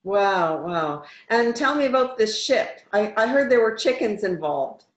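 A woman in her fifties hosting a casual interview over a video call, upbeat and quick to laugh. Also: mid-range voice, lively intonation. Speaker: host. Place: home room. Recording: roomy, dull video call audio.

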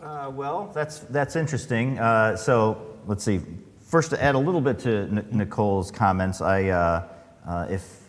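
A man speaking on a panel as an astronaut, reflective, often stopping and restarting his sentences. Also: low-pitched. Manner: reflective, many fillers and restarts. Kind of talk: panel talk. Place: large event hall, on stage. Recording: long reverb of a large hall.

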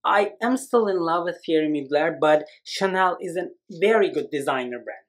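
A man in his thirties from Turkey, giving an animated, expressive perfume review to camera in a small room. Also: normal speed. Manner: animated, expressive, lively swings in pitch and volume. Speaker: fragrance reviewer. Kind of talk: casual video review. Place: small indoor room against a plain wall.

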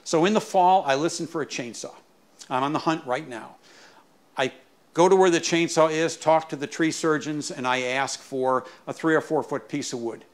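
A man giving an informal, explanatory tour of his small garage workshop as a woodturner, haltingly repeating words as he explains. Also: on the high side.

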